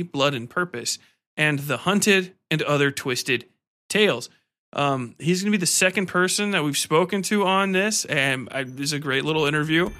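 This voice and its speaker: Man, podcast host, somewhat high bright voice, informal slangy interviewing style. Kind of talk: casual podcast talk.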